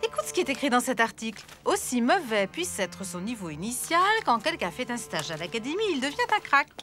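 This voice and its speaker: Cartoon mother, lively intonation, firm, motherly, music behind. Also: on the high side, a little fast. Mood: stern. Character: firm, caring elephant mother. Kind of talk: French cartoon dub acting.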